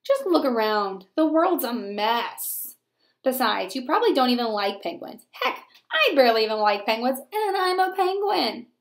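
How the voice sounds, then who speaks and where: A grumpy, bored little penguin from a picture book, as a woman reads it aloud. The voice sits fairly high and sounds sarcastic and put-upon, dismissing the listener. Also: big pitch swings, moderate pace. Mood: grumpy, dismissive.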